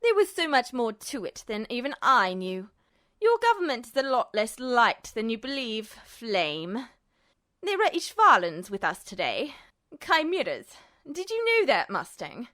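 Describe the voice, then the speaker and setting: A woman's voice: a little high, wildly swooping pitch, a little slow, a bit bright, full character voice. A woman voicing a bitter, unhinged male villain, smug and sneering, in an audiobook story. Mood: smug menace. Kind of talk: audiobook-style character acting.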